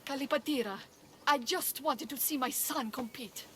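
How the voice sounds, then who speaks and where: High-voiced, imploring mother. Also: normal speed, sharp tone. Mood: proud, earnest. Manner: earnest, imploring. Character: proud, pleading married ancient Greek mother. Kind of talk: video game character acting.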